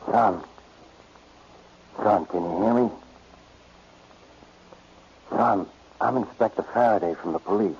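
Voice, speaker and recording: An actor playing a gruff, impatient police inspector in a 1940s radio detective drama. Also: male voice, fairly low pitch, slow, long pauses, darkish tone, exaggerated voice. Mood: urgent. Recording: dull, noisy old radio recording.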